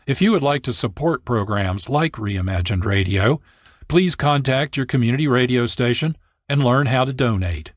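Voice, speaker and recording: A man's voice: medium pitch, dry recording, clean studio sound. A man hosting a radio programme, presenting from a script. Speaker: radio host and producer. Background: music.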